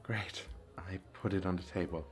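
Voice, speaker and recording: A man playing a modest, gentle suitor who flirts politely, soft-spoken and sincere, in an ASMR roleplay. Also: low voice, fairly even intonation, moderate pace, faint music behind, voice pushed down, close to an everyday voice. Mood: shyly hopeful.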